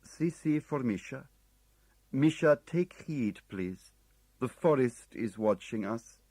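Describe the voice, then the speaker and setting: A man voicing a foreign male agent who stays formally polite and calm under pressure, speaking slowly and insistently. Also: mid-range voice, dry recording, voice pushed up. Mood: pleading.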